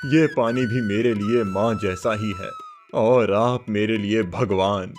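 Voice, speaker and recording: A man's voice: fairly low pitch, steady tone, takes it slowly. Humble old mendicant played by a man, pleading and devout, cartoon story, music underneath. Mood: grateful, devout. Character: humble, parched old wandering fakir, gratefully devout. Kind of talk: Hindi moral cartoon story dubbing.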